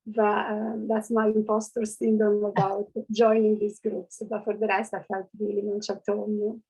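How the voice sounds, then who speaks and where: A woman who grew up outside Canada speaks on a workshop video call in a husky, gravelly voice. Her delivery is flat and hesitant, full of fillers. She pauses often as she finds her words. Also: medium pitch, low-quality recording. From not Canada. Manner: hesitant, many fillers, laughs nervously. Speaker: workshop participant. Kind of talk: casual group talk on a video call.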